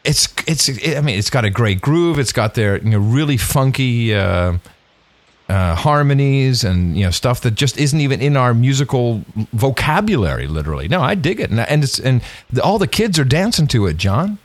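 A man's voice: mid-range voice, very animated, normal speed, no room sound. A middle-aged man co-hosting a podcast in casual chat, joking and sarcastic. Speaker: podcast co-host.

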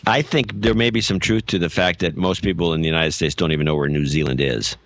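A man's voice: sits low, fairly even intonation, quick pace, a bit bright, no echo. A middle-aged man co-hosting a podcast in easygoing, rambling casual chat. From US West Coast. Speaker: podcast co-host.

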